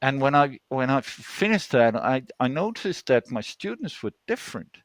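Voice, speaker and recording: Older male storyteller, Danish accent, mid-pitched, conversational and searching for words, dry online-call sound. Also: very animated, moderate pace, dry recording. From Denmark. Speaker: guest storyteller.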